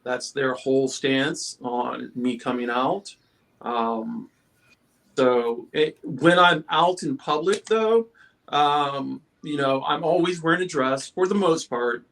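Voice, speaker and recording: A man on a casual panel chat who speaks candidly and haltingly, pausing often on fillers. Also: mid-range voice, vocal fry, a bit bright. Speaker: panel guest.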